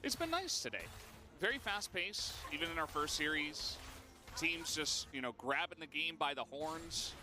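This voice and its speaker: A man's voice: a little high, lively intonation, normal speed. A man doing casual, joking live commentary on an esports match as one of the casters. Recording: music behind the voice. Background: music.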